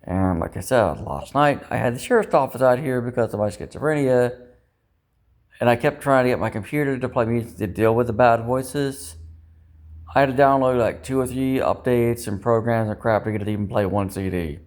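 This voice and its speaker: A man's voice: medium pitch, fairly quick, a little mellow. A man talking casually in a rambling monologue, second-guessing himself and ruminating, with some mild swearing. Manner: self-doubting, ruminating, mildly profane. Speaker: vlogger.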